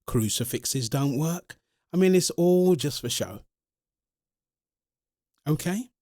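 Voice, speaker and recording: A man's voice: a little high, animated, slow pace, dark tone, no room sound, clean studio sound. A man playing an earnest centuries-old vampire in love, polite and insistent, in an ASMR-style audio roleplay. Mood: explaining, firm. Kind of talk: ASMR audio roleplay, one-sided interview.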